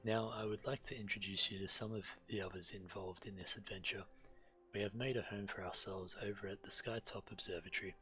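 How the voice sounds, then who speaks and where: A low-pitched male game streamer reads quest text aloud with a measured, deliberate delivery. Fantasy game music plays clearly behind him. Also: fairly even intonation, sharp tone. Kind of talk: reading quest text aloud while playing.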